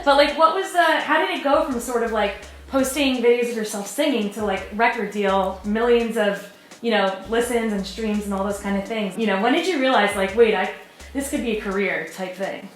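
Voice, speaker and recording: A woman's voice: on the high side, moderate pace. A woman hosting an interview, bubbly and enthusiastic, chatting freely with her guest. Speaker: host. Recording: echoey room, faint music behind.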